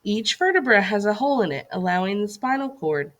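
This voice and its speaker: A woman's voice: medium pitch, expressive, fairly quick, fairly bright tone. A woman reading a scripted educational lesson aloud as a teacher, in an instructive way that poses questions to young listeners.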